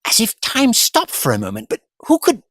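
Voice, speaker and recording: An actor playing a flippant, clever time-travelling adventurer in an audio drama, chatty, wry and breezily polite. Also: male voice, very high-pitched, huge pitch swings, moderate pace, fairly bright tone, dry recording, studio quality, exaggerated voice. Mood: breezy, puzzled.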